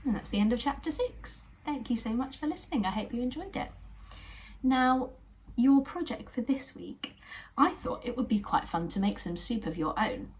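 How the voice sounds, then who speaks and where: A young English woman hosting a children's puppet show, telling a story in a gentle, encouraging way. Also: a little high, expressive, moderate pace. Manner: gentle, encouraging, sometimes whispery narration.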